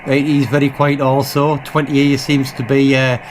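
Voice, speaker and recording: Man casually reviewing radio gear, halting delivery. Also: mid-range voice, completely flat delivery, background noise. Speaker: radio hobbyist presenting own channel. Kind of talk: casual off-the-cuff equipment review.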